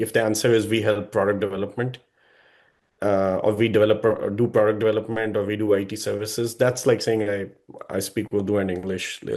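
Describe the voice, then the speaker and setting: A man with a fairly low voice speaks as a guest expert, giving business owners blunt, practical advice. He talks in an earnest, conversational way and often restarts his sentences to correct himself. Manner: direct, earnest advice with frequent self-corrections. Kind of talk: advisory talk to business owners.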